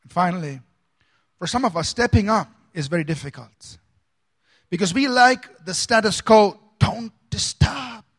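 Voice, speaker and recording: A man from India, a pastor preaching a sermon with dramatic swings between loud emphasis and soft asides. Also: high voice, expressive, unhurried, breathy edge.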